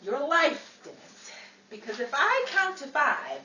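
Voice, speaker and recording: An actress playing a sharp-tongued, exasperated young studio working woman in a 1940s-style radio comedy, with sarcastic, drawn-out delivery. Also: high-pitched, wildly swooping pitch, heavily acted. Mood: sarcastic threatening. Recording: roomy, distant, noisy live stage recording.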